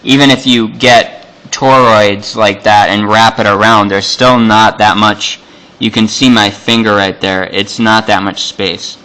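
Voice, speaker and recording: A man's voice: lowish voice, steady tone, unhurried, bright, forceful tone, smooth, rough recording. A man giving an unscripted hands-on electronics demonstration, explaining things in a casual, matter-of-fact way.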